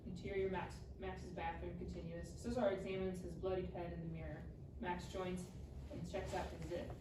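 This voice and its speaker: Female stage-direction reader for a live script reading, lower voice, distant from the mic. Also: level tone, moderate pace. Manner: reads out clipped scene directions. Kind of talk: scripted narration at live table read. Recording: echoey room, distant mic, background noise.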